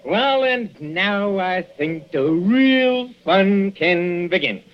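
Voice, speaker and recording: Theatrical gloating villain, dramatic swings. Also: male voice, high voice, expressive, very slow, slight background noise, exaggerated voice. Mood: gleeful menace. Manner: mock-courteous, savoring his words, chuckling menace. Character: gloating, sadistic jealous husband, theatrical older villain professor. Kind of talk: old-time radio horror drama acting. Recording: muffled, lo-fi old radio recording.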